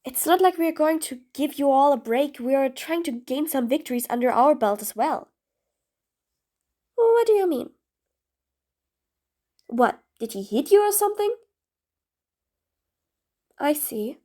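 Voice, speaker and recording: A high-voiced, sly supervillain acted out by a woman for an audio roleplay. The delivery is theatrical and mocking, with grand, formal phrasing. The villain comes across as impatient and scheming, with a grudging streak of sympathy. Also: animated, unhurried, long pauses, dark tone. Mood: bitter, sarcastic. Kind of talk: scripted audio roleplay monologue.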